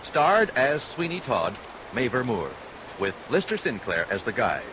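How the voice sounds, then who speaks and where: Male radio announcer: medium pitch, lively intonation, formal and emphatic, period broadcast delivery. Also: unhurried. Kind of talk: 1940s radio scripted credits announcement. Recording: hissy vintage radio recording, rain over it.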